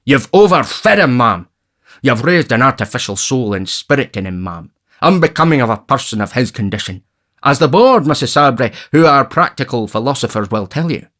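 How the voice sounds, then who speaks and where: A man reading aloud, voicing a pompous, bullying parish official who lectures in a deep, impressive tone. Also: fairly bright tone. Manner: pompous and stern.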